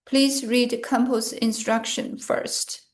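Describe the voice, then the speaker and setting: A woman reading a scripted guided meditation in short, measured phrases with pauses between them. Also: on the high side, flat delivery.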